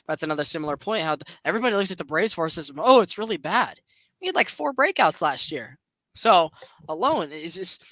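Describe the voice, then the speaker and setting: A young man hosting a podcast, chatting casually about baseball in an animated, rambling way. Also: a little high, no echo. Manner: animated, rambling, laughs often. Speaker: podcast host. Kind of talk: casual sports chat.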